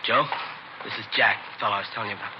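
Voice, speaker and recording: Noisy, muffled old radio drama recording of a man acting as a teenage boy turned informant. He talks rapidly at a middling pitch, earnest and nervous, with an eager, pleading push in his colloquial lines. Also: fairly even intonation, natural voice. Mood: pleading, persuasive.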